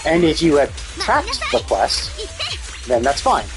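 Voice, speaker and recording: Rambling, higher-voiced male game streamer. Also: huge pitch swings, slow, over music. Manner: profane, informal; rambling, explanatory, opinionated. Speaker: live streamer. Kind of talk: casual game stream commentary.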